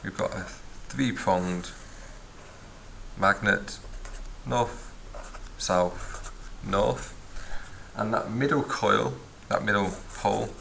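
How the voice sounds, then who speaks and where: A man's voice: fairly low pitch, gravelly, bright, forceful tone, slight background noise, poor audio. A man teaching a physics lesson, explaining step by step and recapping as he writes in a notebook. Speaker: science teacher. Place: at a desk, filming a notebook from above.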